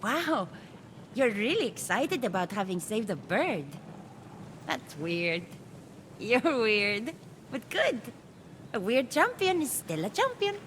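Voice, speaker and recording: An actress playing a scatterbrained, blunt and excitable goddess mentor in a video game, halting as she goes. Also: a little high, a little hiss or hum, full character voice. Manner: halting, flighty, childlike enthusiasm. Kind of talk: video game cutscene voice acting. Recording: loud music behind the voice. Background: music.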